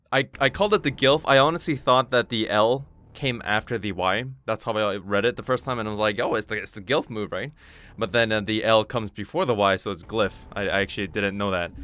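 Excitable man commentating a fighting game. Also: lowish voice, clear, resonant tone. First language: Korean. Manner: excitable, swears freely, talks to himself. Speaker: gaming channel host. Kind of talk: live gameplay commentary.

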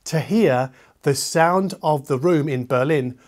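A middle-aged man speaks to camera as the host of an audio channel. His voice sits a little higher than average for a man. He explains in a conversational, emphatic way, dropping into muttered asides, captured on a lavalier mic in a living room. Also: slow, darkish tone. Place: treated living room indoors. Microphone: omnidirectional lavalier.

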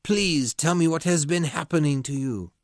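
A man's voice: fairly high pitch, moderate pace, dry recording, studio quality, pitched above the performer's own voice, close to an everyday voice. A man voicing a passionate young man in an audiobook, pleading and emotional.

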